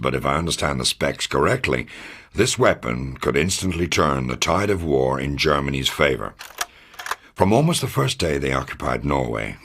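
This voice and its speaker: A man playing a gruff, fatherly, middle-aged American intelligence officer in a wartime video game, grave and authoritative, with a gravelly, husky voice. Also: very deep voice, a bit bright, a little hiss or hum, heavily acted. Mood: stern, wry. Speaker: military intelligence officer giving mission briefings. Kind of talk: scripted dramatic video game briefing.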